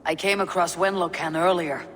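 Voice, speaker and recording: An actress playing a steady female Viking war leader in a video game, calm and decisive. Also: lowish voice, normal speed, in a large hall, a little hiss or hum, natural voice. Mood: matter-of-fact.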